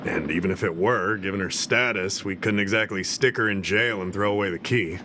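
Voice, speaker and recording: An actor playing a composed official in a video game, explaining things in a measured, earnest way. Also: male voice, low-pitched, background noise, close to an everyday voice. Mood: earnest, serious. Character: composed male Realm Keeping official briefing an ally.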